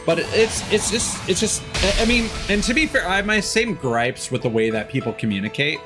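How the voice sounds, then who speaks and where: Sarcastic game streamer man with animated delivery. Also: sits high, fairly quick. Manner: rambling, sarcastic, exasperated rants. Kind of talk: casual live game-stream chat. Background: game music.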